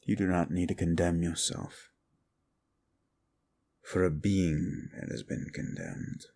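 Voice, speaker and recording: A man voicing a brooding, scornful fallen demon in an audio roleplay, with a deep gravelly voice and a slow way of talking. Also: steady tone, warm tone, full character voice.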